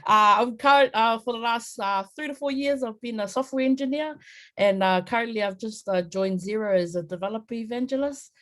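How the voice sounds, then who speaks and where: A speaker introducing themself on a video-call panel, energetic and chatty with frequent fillers. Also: medium pitch, fairly quick. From New Zealand. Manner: warm, energetic, many fillers. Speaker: software engineer, panelist. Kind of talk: casual self-introduction.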